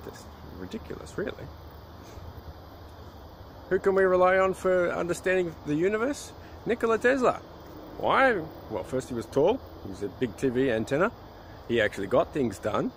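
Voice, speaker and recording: A man's voice: on the high side, big pitch swings, normal speed, poor audio. A man giving a rambling, informal monologue in an opinionated, scornful manner, often posing rhetorical questions. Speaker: vlogger on alternative health. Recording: loud outdoor urban traffic noise.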